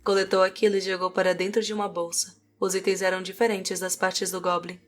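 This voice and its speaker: A woman's voice: mid-range voice, little pitch movement, fairly quick. A Brazilian woman reading scripted narration for a fantasy audiobook. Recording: music behind the voice. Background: music.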